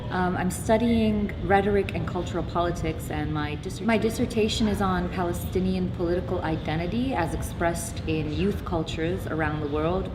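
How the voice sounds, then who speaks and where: A young adult woman from Canada and Lebanon introducing herself in an interview as a writer, researcher and actress. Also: fairly low pitch. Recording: long hall reverb, clear background noise.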